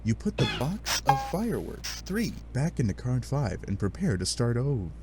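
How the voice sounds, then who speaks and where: A man giving animated, sardonic narration of a comic adventure, with playful dramatic asides. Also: medium pitch, normal speed, over loud music. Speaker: narrator of a fan dub read-along.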